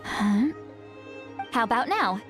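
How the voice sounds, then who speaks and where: A chatty, high-voiced youthful elder shaman. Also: big pitch swings, slow, fairly bright tone, faint music behind, exaggerated voice. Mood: confident. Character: kindly elderly female shaman who strives to sound youthful. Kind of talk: video game voice acting.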